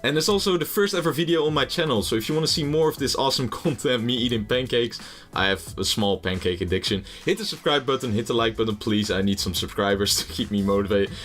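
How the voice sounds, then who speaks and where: Rambling gaming commentator. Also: male voice, mid-range voice, fast, a bit bright. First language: Dutch. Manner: rambling, jokey, swears casually; nervous first-video energy. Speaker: gaming YouTuber. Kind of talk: casual game commentary.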